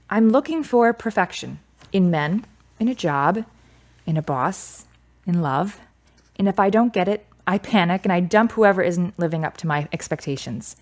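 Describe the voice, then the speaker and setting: A woman's voice: medium pitch, lightly acted. Radio drama role, played by a woman: frank, articulate woman, middle register, wry and sure of herself. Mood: candid, assertive. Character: frank, self-assured woman gently ending a romance.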